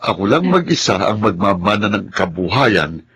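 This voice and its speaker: A man acting a greedy, scheming villain son in a Filipino radio drama, gloating in a husky voice. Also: on the low side, big pitch swings, normal speed, fairly bright tone, slight background noise. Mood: smug, scheming. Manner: smug, gloating.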